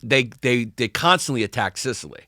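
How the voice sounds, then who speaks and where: Man explaining history, halting. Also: medium pitch, lively intonation, bright, forceful tone, no room sound, studio quality. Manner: explains history with many hesitations. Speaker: podcast co-host. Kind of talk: casual podcast chat.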